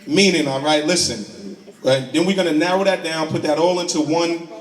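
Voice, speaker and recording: A man moderating a town hall, explaining things to the audience in a loose, halting way. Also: fairly high pitch. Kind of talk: informal address to an audience. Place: community center hall. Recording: echoey hall from far off.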